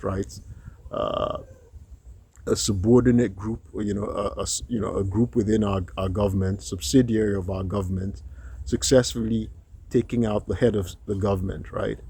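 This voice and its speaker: A man's voice: lowish voice, dark tone. Nigerian-born guy rambling thru his take, lots of uh and right?, faint hiss behind. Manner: halting, many fillers and restarts; frequent rhetorical "right?" tags. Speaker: commentator. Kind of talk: unscripted solo commentary.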